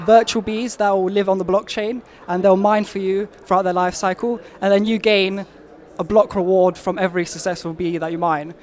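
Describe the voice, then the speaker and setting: Man, young adult, high-pitched, insistent, casual interview. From Albania, raised in London. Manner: eager, insistent, emphatic claims. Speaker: marketing lead, interviewee.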